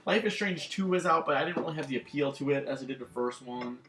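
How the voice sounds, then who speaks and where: A man's voice: a little high, fast, a bit bright, slight background noise. A man co-hosting a gaming channel, chatting casually with lots of "um" and "like" fillers. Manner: rambling, many fillers like "um" and "like". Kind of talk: casual chat about video games. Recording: echoey room.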